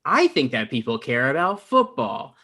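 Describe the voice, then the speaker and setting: A man's voice: medium pitch, big pitch swings, moderate pace, sharp tone. A man acting as a sheepish, self-absorbed young man in a comedy table read, blunt and self-deprecating. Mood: blunt, confessional.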